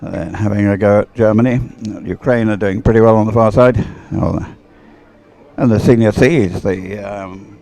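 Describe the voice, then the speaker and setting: Low-voiced, mellow man commentating on sport. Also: fairly even intonation, moderate pace, gravelly, low-quality recording. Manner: rambling, hesitant, thinking aloud. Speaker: race commentator. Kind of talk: live dragon boat race commentary.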